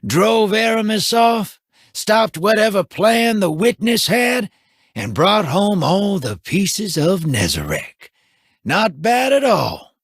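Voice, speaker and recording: An actor playing a wry, easygoing young human scout who talks in a casual, joking, self-mocking way, with a husky voice. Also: male voice, high-pitched, very animated, slow, no echo, clean studio sound, full character voice.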